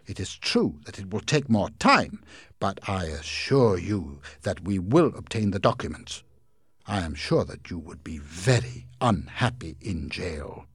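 A man reading a calm, firm young lawyer. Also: low-pitched, slightly rough, no echo, full character voice. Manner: steady, measured and firm. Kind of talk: storybook reading.

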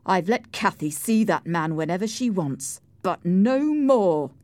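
A woman voicing a stern, proper gentleman husband who speaks in a formal, commanding way in an audiobook story. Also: lowish voice, animated, measured pace, no room sound. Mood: angry, commanding. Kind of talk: audiobook character acting.

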